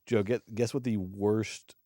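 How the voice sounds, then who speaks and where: A young man co-hosting a casual movie podcast, excitable and profane, often jumping loud and high when he rants. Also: a little slow, no room sound. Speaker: podcast co-host. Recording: dry close mic, live stream.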